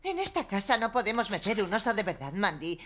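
A woman voicing a patient, sensible mother in a cartoon dub, with a gently firm manner. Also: medium pitch, sing-song, fairly quick.

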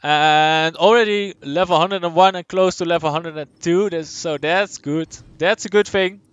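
A young man with a Dutch accent streams a game and chats with his viewers. His voice sits a little high and sounds smooth and clear. The close, dry mic keeps his casual, think-aloud commentary right up front. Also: normal speed, no room sound, muffled recording. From Netherlands. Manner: casual, chatty, answers chat aloud, thinks out loud. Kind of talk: live gameplay commentary, reading chat.

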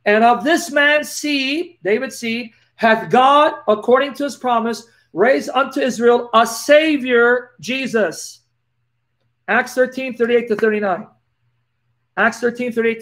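A man with a noticeable lisp and a strikingly high-pitched voice answers viewers' questions live. He reads scripture aloud in a preacherly, animated manner, close to a laptop webcam in a small dim room. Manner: animated, preacherly, gestures while talking. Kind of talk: live Q&A, reading Bible verses aloud.

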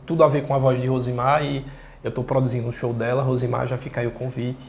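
Soft-voiced northeastern Brazilian man, roomy sound. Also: medium pitch, little pitch movement, fast talker, a little hiss or hum. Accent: northeastern Brazilian, Pernambuco. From Caruaru, northeastern Brazil. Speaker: interview guest, singer-songwriter and journalist. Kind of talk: casual interview talk. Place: small indoor room. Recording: echoey small room.

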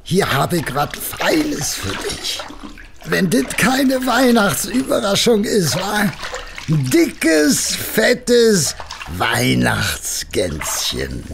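Boastful berlin working man in an audio play, wheedling, kinda high voice that swings around a lot. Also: huge pitch swings, very slow, gravelly, noisy background, exaggerated voice. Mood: coaxing. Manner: colloquial, wheedling, hesitant storytelling. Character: cheerful working-class man, tipsy, good-natured, boastful husband. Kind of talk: German audio drama acting.

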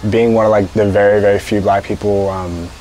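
A teenage boy with a fairly low voice, speaking hesitantly and leaning on filler words. He is recorded outdoors on a porch with faint ambience in the background. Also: steady tone, brisk, dark tone, slight background noise, rough recording. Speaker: interviewee.

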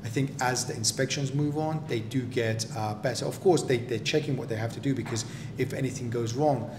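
Guy explaining inspections, practical tone, echoey room with a rumble going. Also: fairly quick. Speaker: property developer and general contractor. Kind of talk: explaining in a relaxed home interview.

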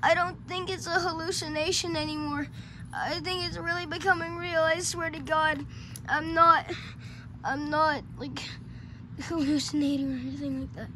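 A female performer voicing a frightened, sleepless boy in an amateur horror skit, whining, halting and pleading as he repeats himself. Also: high-pitched, steady tone, bright, forceful tone. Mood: anxious, insistent. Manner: whiny, halting, repetitive, pleading. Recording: noisy homemade recording.

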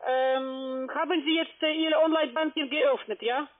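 A woman speaking as a call centre agent, insistent and halting, on a phone call. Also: high voice, steady tone, faint music behind. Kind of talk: phone sales pitch. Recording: tinny narrow telephone line.

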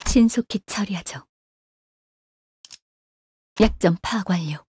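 A young female video game agent, cool, analytical and composed. Her voice is very breathy and mellow, recorded close and dry. She speaks formally, with calm detachment, as if filing a report. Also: medium pitch, slow, no room sound. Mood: composed, detached. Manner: calm, detached, formal, refers to herself by name. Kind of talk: scripted game character voice lines.

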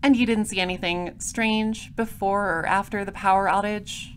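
A woman's voice: medium pitch, steady tone, normal speed, clean tone, natural voice. Woman as a clipped, probing detective. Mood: businesslike. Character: cool, probing space patrol detective. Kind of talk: audio drama dialogue and narration.

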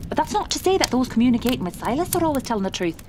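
A woman's voice: high-pitched, very animated, very fast, background noise. A woman playing a commanding, mysterious spirit medium of the 1920s in a horror audio drama, with formal, theatrical diction. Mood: solemn, confiding. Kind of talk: dramatic horror podcast acting.

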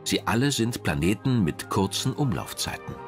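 Low male doc narrator, very varied intonation, kinda dramatic, reading a script. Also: very animated, moderate pace, loud music behind the voice. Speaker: documentary narrator.

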